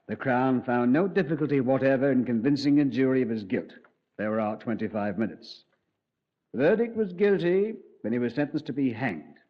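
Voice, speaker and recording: An actor playing a composed Scotland Yard detective who narrates the case in a measured, politely ironic way, on a dull old radio recording. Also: male voice, mid-range voice, lightly acted. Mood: grave. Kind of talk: 1950s radio drama.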